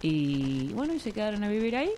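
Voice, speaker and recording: A woman's voice: mid-range voice, quick pace, slight background noise. A young woman with an Argentine accent, a radio guest telling her story casually, with many fillers and frequent laughs. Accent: Argentine Spanish. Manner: relaxed, hesitant, laughs often, many fillers.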